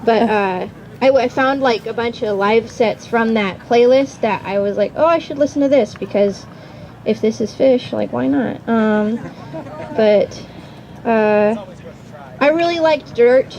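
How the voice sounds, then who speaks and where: High-voiced young woman, noisy outdoor recording. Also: measured pace, darkish tone, highs cut off. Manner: chatty, informal, hesitant. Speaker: student panelist. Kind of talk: casual unscripted panel talk. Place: outdoors at a campground. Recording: outdoor recording with clear background noise.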